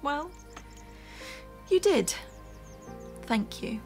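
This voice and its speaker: A woman's voice: high-pitched, animated, extremely slow, a little mellow, soft background music, full character voice. An actress playing a wounded, resolute, self-reliant young woman in an audio drama.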